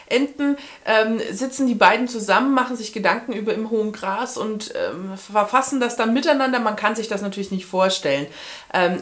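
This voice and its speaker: Female book vlogger, 30s, medium pitch, casual and chatty, thinks out loud with asides. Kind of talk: casual book review to camera. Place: small furnished room with bookshelves, indoors.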